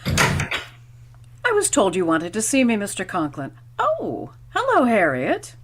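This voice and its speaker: A woman's voice: medium pitch, huge pitch swings, slow pace, exaggerated voice. A woman playing a pleasant, wisecracking schoolteacher with a dry, wry manner in old-time radio comedy style. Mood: polite.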